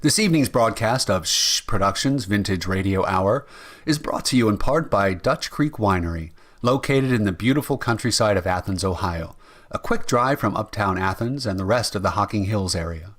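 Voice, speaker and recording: A man's voice: on the low side, lively intonation, normal speed, fairly bright tone. A man working as an announcer, reading scripted radio sponsor copy and narration.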